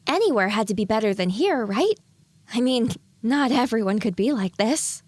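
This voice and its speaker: A woman plays an anxious young filly pony in an audio drama, thinking aloud in a high, swooping voice. She questions herself constantly, yet clings to hope. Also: animated, moderate pace, darkish tone, slightly rough, no echo. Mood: hopeful, pleading.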